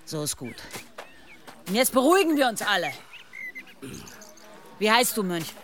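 A woman playing a tough, commanding villager in a medieval audio drama, firm and calmly threatening. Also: mid-range voice, measured pace, noisy background. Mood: controlled authority.

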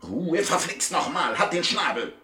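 A man playing a brash, impatient, wisecracking gentleman crook in a comic radio crime play, scolding in colourful phrases. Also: on the high side, sing-song, a little slow, exaggerated voice. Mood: scolding, exasperated. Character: brash, exasperated, wisecracking gentleman crook, boss of his gang. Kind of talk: 1960s German radio comedy crime play. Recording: roomy, slightly noisy 1960s radio recording.

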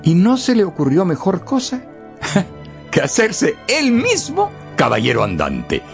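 An actor playing a wry male storyteller in a radio drama, dramatic and playful, with deliberate hesitations. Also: high voice, huge pitch swings, gravelly, soft background music, heavily acted. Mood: amused, animated. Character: lively, wry male storyteller of a classic tale.

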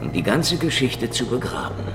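A man acting a hardboiled Soviet detective from a noir radio play, sardonic and defiant. His pitch moves widely as he speaks, in a long reverberant space with loud music underneath. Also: sing-song, normal speed, natural voice. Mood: accusing, grim. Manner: sardonic, dramatic. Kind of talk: German radio drama acting with noir first-person narration.

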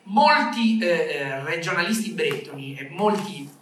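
A man giving an academic conference talk in a halting way, often repeating words. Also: a little high, expressive, background noise. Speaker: conference speaker. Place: echoey conference room. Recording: very echoey room, distant microphone.

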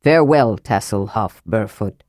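A woman voicing a wise, stern male gold dragon who speaks gravely and formally. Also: very deep voice, animated, a little slow, a little mellow, dry recording, clean studio sound, pitched below the performer's own voice.

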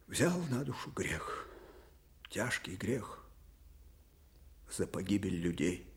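An actor playing a weary, grieving old field marshal in a radio drama, halting and emotive. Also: male voice, fairly high pitch. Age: older. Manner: halting, emotive, swelling from hushed to fervent. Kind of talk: dramatic radio play acting.

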